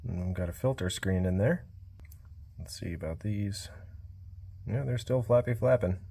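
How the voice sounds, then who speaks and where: Quiet, low-key man narrating repairs. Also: normal speed, gravelly, breathy edge. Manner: quiet, low-key, self-described monotone. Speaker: small-engine repair vlogger. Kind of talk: casual workshop narration. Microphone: cell phone.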